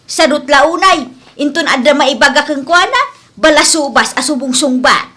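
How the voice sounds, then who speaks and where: Sharp, high-voiced nagging Ilocano mother-in-law, acted by a woman. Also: normal speed. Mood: grumbling. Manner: scolding, sarcastic. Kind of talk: Ilocano radio comedy drama acting.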